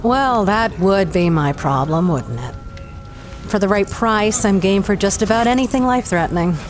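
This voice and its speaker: A woman's voice: mid-range voice, animated, moderate pace, close to an everyday voice. Woman playing a hard-bitten, sardonic adventuress over music. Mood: wry, guarded. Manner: wry, tough-talking, blunt. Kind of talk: 1930s adventure audio drama acting. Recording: music behind the voice.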